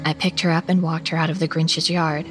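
A woman performs as an anxious suburban storyteller with a fairly low voice. She narrates a scripted horror tale in a measured, dramatic way, with music clearly behind her. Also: flat delivery, natural voice. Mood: tense, reflective. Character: anxious suburban woman recounting a frightening Christmas night. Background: background music.

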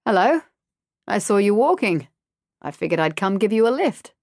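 A woman's voice: mid-range voice, sing-song, moderate pace, darkish tone, no echo, clean studio sound. A woman voicing an older male stranger who sounds friendly but menacing, in a told story. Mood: falsely friendly. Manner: smooth, deceptively friendly.

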